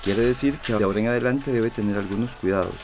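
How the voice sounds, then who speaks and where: An actor playing a calm, reassuring doctor in a radio drama, explaining things in a formal, measured way. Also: male voice, on the low side, music in the background, lightly acted. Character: calm, reassuring male doctor advising a young pregnant patient.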